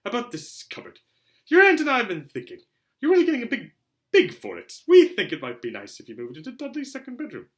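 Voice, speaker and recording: Irritable middle-aged uncle for a storybook man performing, bright forceful voice, curt and blustering. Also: very high voice, wildly swooping pitch, fast, voice pushed up, exaggerated voice. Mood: forced nice. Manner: curt, snapping, forced niceness. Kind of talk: storybook character voice.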